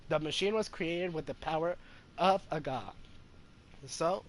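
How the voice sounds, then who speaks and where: A man's voice: a little high, unhurried. A man hosting a gaming video with casual, informal commentary, repeating points for emphasis.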